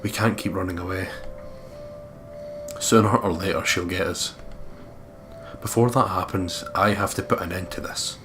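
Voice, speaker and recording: A very low male voice delivers dramatic first-person narration, reading from a visual novel. The pitch stays in a narrow band, and loud music plays underneath. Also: steady tone, moderate pace, pauses often. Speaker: let's play host reading a visual novel aloud. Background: loud game music.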